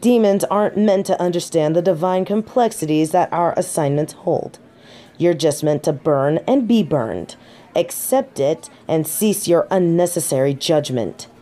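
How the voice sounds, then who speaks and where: A woman's voice: fairly low pitch, lively intonation, a little slow, warm tone. A woman playing a snobby, centuries-old fallen angel in denial, speaking in formal, pompous phrasing in a scripted audio roleplay. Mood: offended, condescending. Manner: formal, precise, pompous diction. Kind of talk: scripted character roleplay monologue.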